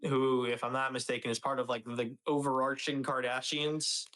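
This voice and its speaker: A man's voice: medium pitch, flat delivery, moderate pace, a bit bright. A young man co-hosting a casual motorsport podcast, talking bluntly, swearing freely and calling his co-host dude and bro.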